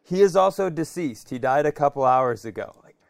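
A man's voice: on the high side, expressive, a little fast, creaky, dry recording, studio quality. A man co-hosting a comedy podcast, telling stories casually and riffing in a conversational way. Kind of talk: casual comic storytelling.

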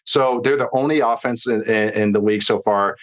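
A man's voice: medium pitch, brisk. A man giving casual, enthusiastic football analysis as a podcast guest.

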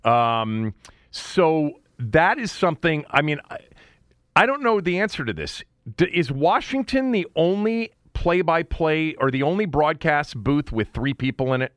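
An American man hosting a casual sports interview, talking in a halting, rambling way that often interrupts itself. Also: fairly high pitch, big pitch swings, a bit bright, no echo, studio quality. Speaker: sports radio and podcast host.

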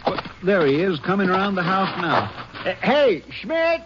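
A man's voice: high voice, very animated, normal speed. A man playing an easygoing small-town Texas sheriff with an American accent and neighborly, folksy manner in a 1950s radio drama. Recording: noisy, muffled old 1950s radio recording.

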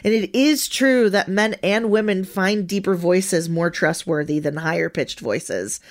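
A woman co-hosting a podcast, explaining facts in a steady run in casual chat. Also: on the low side, measured pace. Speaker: podcast co-host.

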